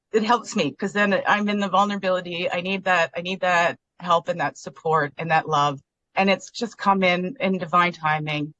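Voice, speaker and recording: A woman's voice: on the low side, fairly bright tone, highs cut off. A woman sharing personal feelings over a video call in a heartfelt, rambling way, close to tears. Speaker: guest member.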